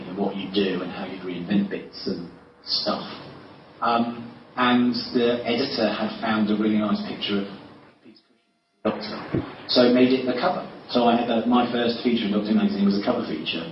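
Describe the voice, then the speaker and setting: A man telling casual, self-deprecating anecdotes in a panel interview, rambling with false starts and chuckles. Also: on the low side. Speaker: interviewed writer. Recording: echoey room, distant mic, muffled, noisy.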